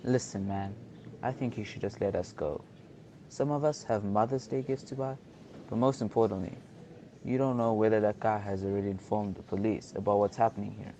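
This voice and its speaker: Over audible background noise comes a flippant, talkative husband caught as a hostage, acted by a young man. His voice sits fairly low, and he complains cheekily in radio drama style. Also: fairly even intonation, brisk, warm tone, natural voice, rough recording. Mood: cheeky, argumentative. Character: cheeky, chatty bank hostage and harried husband. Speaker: student actor. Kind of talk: amateur radio drama acting.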